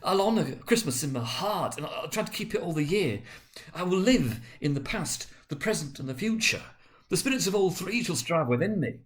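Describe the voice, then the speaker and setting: Guy doing a scared old miser from a storybook, pleading and stuttering, pitch swinging all over. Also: animated, lightly acted. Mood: pleading, earnest. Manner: stammering, halting, urgent. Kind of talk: dramatic storybook reading.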